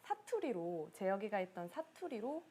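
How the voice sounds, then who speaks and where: A woman's voice: mid-range voice, big pitch swings, normal speed, warm tone, breathy edge. A woman hosting a live-stream interview, asking questions in an upbeat, conversational way. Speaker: interviewer host.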